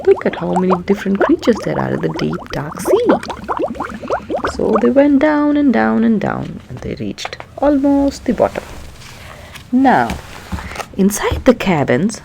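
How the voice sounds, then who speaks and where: A woman's voice: on the low side, vocal fry, heavy background noise. A woman reading a children's story aloud, chatting and putting questions to young listeners as she explains.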